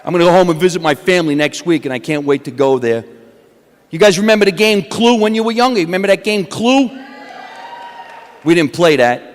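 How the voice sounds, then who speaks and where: A stand-up comedian with a New Jersey accent, delivering a deadpan wisecracking set onstage. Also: male voice, sits high, fairly quick. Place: comedy awards stage. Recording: long hall reverb from a stage.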